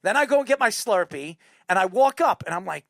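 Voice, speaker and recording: A man telling stories in casual chat as a talk show host, excitable, repeating himself and swearing now and then. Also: high voice, brisk, creaky, no echo, studio quality. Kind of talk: casual chat, animated storytelling.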